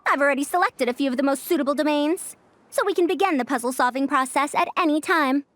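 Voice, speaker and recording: A woman's voice: high voice, fast, fairly bright tone, a little hiss or hum. A woman voicing a proud, prickly scholar with a youthful look and an old-fashioned, pompous lecturing manner in an anime game dub. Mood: brisk, fond.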